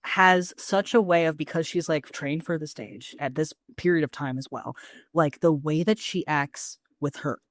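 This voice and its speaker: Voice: medium pitch, moderate pace, dry recording, studio quality. A podcast co-host chatting casually and enthusiastically, with plenty of slang and swearing.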